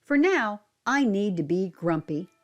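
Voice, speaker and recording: A woman's voice: medium pitch, very animated, a little slow, muffled recording. A woman voicing a grumpy male monkey from a picture book, emphatic and sulky. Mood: grumpy, frank. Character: grumpy young male cartoon monkey in denial.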